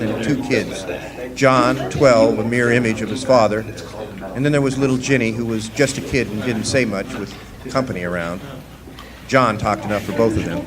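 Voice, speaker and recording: An actor playing a wry, easygoing drifter miner in a radio drama, with a folksy way of talking. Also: male voice, medium pitch, lightly acted. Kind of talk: live original radio drama. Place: live performance in a resort hall. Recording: echoing hall, faint noise, live stage.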